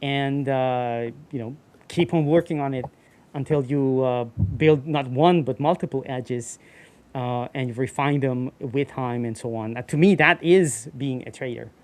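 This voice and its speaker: A man talking casually on a trading livestream, explaining in halting phrases full of fillers. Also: mid-range voice. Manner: halting, many fillers and restarts while explaining.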